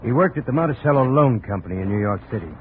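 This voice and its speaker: An actor playing a businesslike, insistent insurance adjuster in a 1950 radio drama, formal and skeptical. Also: male voice, medium pitch, animated, racing, narrow, tinny audio, natural voice. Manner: formal, directing, matter-of-fact. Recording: thin, noisy old radio recording.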